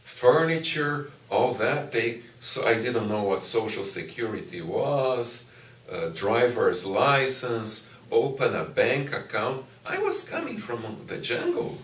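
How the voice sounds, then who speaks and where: A middle-aged man from Brazil whose first language is Portuguese, a university professor answering interview questions in a slow, thoughtful way with frequent pauses and restarts. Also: mid-range voice, big pitch swings, fairly bright tone. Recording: echoey room, distant mic, faint ambience.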